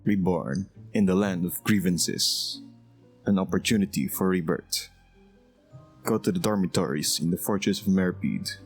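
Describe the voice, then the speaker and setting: A man's voice: sits low, measured pace. A man hosting a gameplay video, commentating and reading the on-screen text aloud. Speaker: gaming video host. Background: game music.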